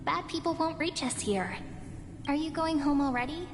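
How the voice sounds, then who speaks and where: An actress playing a gentle, playful village girl who teases affectionately in a video game. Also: high-pitched, expressive, moderate pace. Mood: playful, reassuring. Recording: long reverb, prominent game music.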